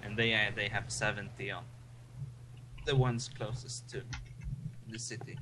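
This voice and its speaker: A man's voice: fairly low pitch, unhurried, background noise. A young man from Sweden with a Swedish accent, chatting and rambling casually while playing a game. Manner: rambling, relaxed, with restarts. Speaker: game streamer.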